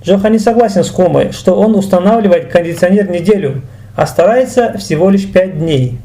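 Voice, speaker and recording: A man gives a language lesson in a high but soft-edged, mellow voice. He explains in a didactic way and sets out each example with deliberate care. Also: lively intonation. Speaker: language teacher.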